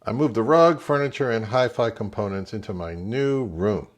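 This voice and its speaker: A middle-aged man narrating a hi-fi vlog in a conversational, measured, explanatory way. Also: lowish voice, echoey room. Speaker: hi-fi YouTuber. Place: indoors in a home room.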